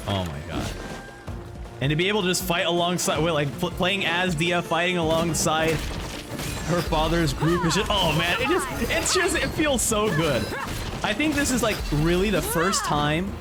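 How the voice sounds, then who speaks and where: A man's voice: sits high, sing-song, moderate pace, over loud music. A man giving casual, effusive live reaction commentary as a gaming streamer. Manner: enthusiastic, effusive.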